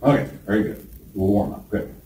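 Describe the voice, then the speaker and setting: A man in his forties leading a presentation skills workshop, calling on trainees by name and slowing down to stress his points. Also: lowish voice, lively intonation, dark tone. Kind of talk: classroom workshop lecture. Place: large training room indoors. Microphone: room camera from a distance. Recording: echoey room, distant mic.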